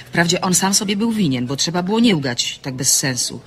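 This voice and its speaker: Animated, flustered, insistent woman. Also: fairly low pitch, fairly quick, slight background noise. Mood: defensive. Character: flustered, stubborn, impulsive woman full of mischief. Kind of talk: scripted audio drama acting.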